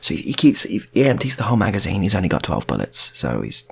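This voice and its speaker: A man's voice: low-pitched, wildly swooping pitch, fast talker, creaky, husky. A man telling an improvised story in a casual, rambling way, often repeating his phrases.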